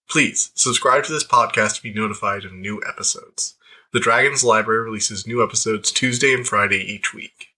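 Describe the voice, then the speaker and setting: Slightly hoarse man reviewing a book. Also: fairly low pitch, bright, forceful tone, rough recording. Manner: rambling, restarts thoughts, self-deprecating. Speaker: podcast host and book reviewer. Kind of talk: casual spoiler review monologue.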